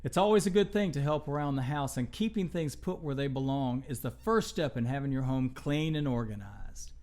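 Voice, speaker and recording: A man hosting a children's show, telling stories in an unhurried, expressive way. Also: medium pitch. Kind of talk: storybook read-aloud and talk to children.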